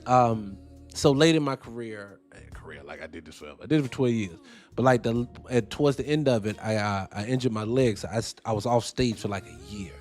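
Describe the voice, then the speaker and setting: A man's voice: lowish voice, a little fast, soft background music. A young adult man hosting a talk show, delivering heated, profane commentary with rambling tangents. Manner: profane, animated, ranting with tangents. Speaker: host.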